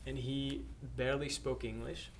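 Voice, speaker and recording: A middle-aged man from Norway shares his missionary testimony at an even, mid-range pitch. He talks haltingly, full of filler sounds and restarts. A faint hiss of noise sits under the recording. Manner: hesitant, many uhs and restarts. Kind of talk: personal testimony to camera.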